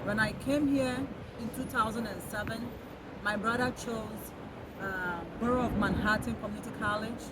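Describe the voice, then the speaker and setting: A woman's voice: a little high, steady tone, moderate pace. A woman from Ghana in her thirties with a Ghanaian accent, a nurse giving earnest advice in a casual street interview, repeating her points. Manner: advising, earnest, repeats phrases. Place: city street outdoors. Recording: loud street noise. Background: loud traffic.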